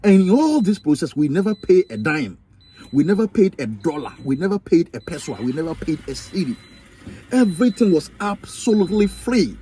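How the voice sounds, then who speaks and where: A man's voice: high-pitched, very animated, moderate pace, warm tone, slightly rough. A young man with a Ghanaian accent telling a personal story in a vlog, repeating himself emphatically. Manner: emphatic, repetitive, preachy storytelling. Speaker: vlogger.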